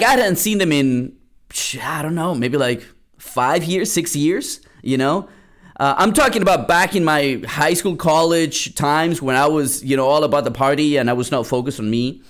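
A young man from Peru chats through a personal story on his own podcast, looping back with fillers and restarts as he goes. His voice sits a little higher than most men's. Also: a bit bright. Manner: rambling, many restarts and fillers. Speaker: podcast host. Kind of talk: casual solo podcast storytelling.

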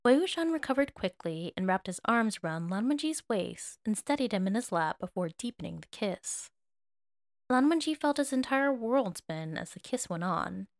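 A woman's voice: mid-range voice, very animated, normal speed, no room sound, clean studio sound. A woman reading scripted fiction aloud as an audiobook narrator.

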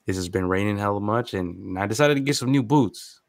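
A man's voice: fairly low pitch, brisk, creaky, no room sound. A man from the San Francisco Bay Area, co-hosting a sports podcast while congested and coming down with a cold, talking in a loose, slangy way. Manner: loose and slangy, laughs easily. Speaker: podcast co-host. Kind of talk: casual sports podcast chat.

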